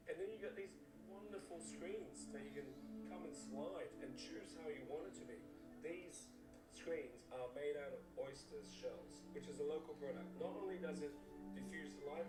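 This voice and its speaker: A man enthusiastically describes buildings as he narrates a travel tour. His voice sounds roomy and a little distant. Loud music plays behind him. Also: mid-range voice. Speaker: architecture video presenter.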